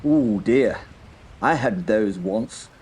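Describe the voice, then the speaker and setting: A man's voice: on the low side, animated, slow pace, a little mellow, husky, pitched above the performer's own voice, heavily acted. A man voicing a sulky, mocking knight who plays dim and innocent in an audiobook. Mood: amused. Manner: testy, mock-innocent.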